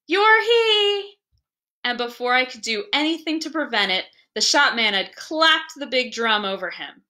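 Female story narrator: higher-pitched, bright, expressive, deliberate literary reading. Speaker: librarian reading a story aloud.

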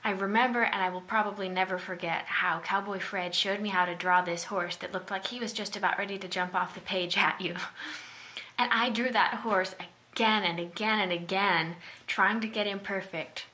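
A woman's voice: medium pitch, fairly even intonation, moderate pace, pushed and bright. A young woman giving a prepared keynote speech to a webcam in a small room, telling her story in an earnest way. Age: young adult. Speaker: keynote speaker. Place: small indoor room at home. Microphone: webcam at close range.

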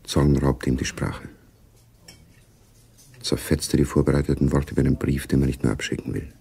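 A man's voice: a bass voice, little pitch movement, normal speed, creaky, dark tone. A man narrating a radio play spy story in a grave, knowing, literary manner. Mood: brooding.

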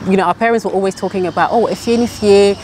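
A woman's voice: medium pitch, very fast, darkish tone, a little hiss or hum. A woman raised in the UK, speaking earnestly and reflectively as an interview guest outdoors. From United Kingdom. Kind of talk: casual interview. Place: outdoor garden patio.

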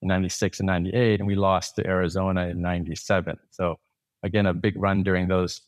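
A man's voice: low voice, flat delivery, fast, dry recording, studio quality. A man in his forties from Thailand, a podcast guest telling long stories in a rambling way, with many restarts and fillers. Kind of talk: casual interview storytelling.

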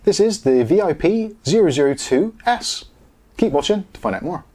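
A man's voice: fairly high pitch, very animated, moderate pace, a little mellow. A British man in his forties giving a chatty, explanatory product review in a casual presenter style. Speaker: host of a product review channel. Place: small home studio room, indoors.

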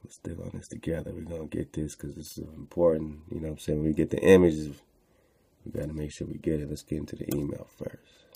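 Male online host, deep gravelly register, slangy, repetitive, unscripted casual commentary. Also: flat delivery, quick pace, mellow. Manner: rambling, repeats phrases, slangy "man" and "you know". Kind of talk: casual unscripted commentary while browsing on screen.

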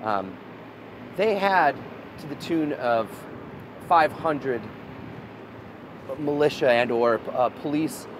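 A man's voice: a little high, lively intonation, slow pace, long pauses. A man in his thirties leading an outdoor walking tour, telling history as a story with lots of fillers and restarts. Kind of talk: casual guided history talk. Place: outdoors on a city street beside a prison wall. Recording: outdoor street with traffic noise.

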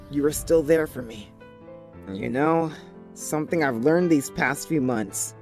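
A man's voice: mid-range voice, a little mellow, close to an everyday voice. A young man playing an earnest, devoted teenage boy in a cartoon comic dub. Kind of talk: fan comic dub voice acting.

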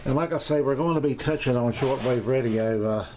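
A middle-aged or older man giving a casual, unscripted equipment review as a hobbyist, rambling and hesitating often. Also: mid-range voice. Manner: halting, rambling delivery with long hesitations. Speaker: amateur radio hobbyist presenting his equipment. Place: small indoor home radio room. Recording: noisy home camera recording.

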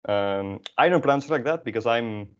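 A man's voice: medium pitch, animated, fairly quick. A young man from the northern Netherlands with a Dutch accent, teaching a language class informally in a rambling, hesitant, self-deprecating way. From Friesland, northern Netherlands. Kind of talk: informal online lesson, explaining off the cuff. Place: online video call. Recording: dull, lo-fi video call audio.

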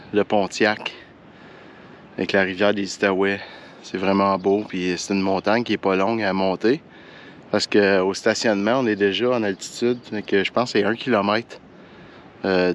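A man with a Quebec French accent chatting casually to the camera as a hiking vlogger, in a relaxed, colloquial and slightly halting way. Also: on the low side. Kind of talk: casual vlog chat to camera. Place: outdoors on a forest hiking trail and mountain summit. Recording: outdoor ambient noise behind voice.

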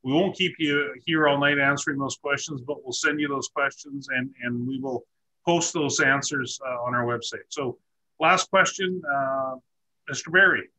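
A man's voice: mid-range voice, normal speed, bright, forceful tone. A man in his forties moderating a school district town hall over a video call, courteous and full of fillers. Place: office with framed certificates.

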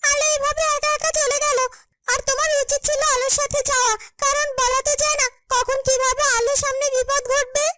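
A woman's voice: very high-pitched, flat delivery, fairly quick, music in the background. A woman voicing a small, anxious companion in a fairytale cartoon, fretting and asking insistent questions. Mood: worried. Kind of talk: dramatic fairytale cartoon dubbing.

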